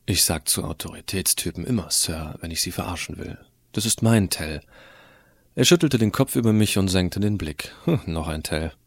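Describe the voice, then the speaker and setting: A cocky teenage schoolboy played by a man tells his own story in an audio drama. The voice is fairly low and recorded dry. His narration is irreverent, sardonic and full of slangy, colloquial turns. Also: animated, normal speed, dry recording. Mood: wry, confiding. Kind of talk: first-person scripted narration, fan audio drama.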